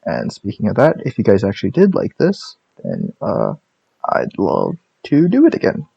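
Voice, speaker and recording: A man's voice: on the low side, huge pitch swings, moderate pace, creaky, dark tone, husky, dry recording, muffled recording. Rambling, self-mocking young man. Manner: rambling, self-deprecating, jokey asides. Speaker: YouTuber. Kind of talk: casual vlog narration.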